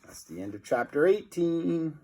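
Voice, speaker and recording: Male storybook reader: slightly high voice, very animated, theatrical, takes his time. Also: moderate pace. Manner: theatrical, teasing asides to young listeners. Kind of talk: animated children's read-aloud narration.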